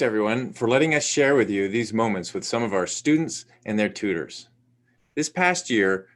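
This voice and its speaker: Man narrating a formal awards video. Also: fairly low pitch. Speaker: board member presenting the celebration. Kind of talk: scripted narration.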